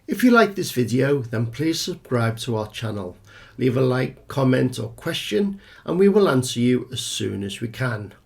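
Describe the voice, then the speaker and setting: Animated male exam tutor, slightly gravelly. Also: mid-range voice, moderate pace. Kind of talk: scripted instructional narration.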